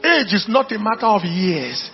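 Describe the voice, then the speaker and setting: High-pitched, gravelly Nigerian male preacher. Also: big pitch swings, normal speed, dull audio, soft background music. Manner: emphatic repetition, declaiming to congregation. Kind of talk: animated sermon with anecdotes.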